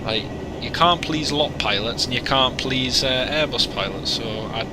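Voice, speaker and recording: A man talks loosely and jokingly to his stream audience, wandering through asides at an ordinary middle pitch. A steady hum of engines and noise sits clearly under his voice. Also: normal speed. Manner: chatty, joking, rambling asides. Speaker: flight sim streamer. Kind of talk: casual livestream chat.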